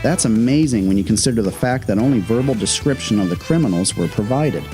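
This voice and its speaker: A man's voice: lowish voice, expressive, quick pace, over music. A man hosting a programme with scripted historical commentary, in the manner of a prepared narration. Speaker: host, radio historian.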